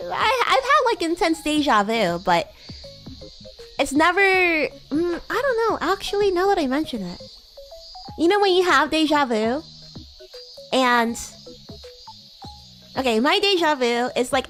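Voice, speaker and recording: High-pitched, animated woman streamer. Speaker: VTuber streamer. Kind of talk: casual livestream chat.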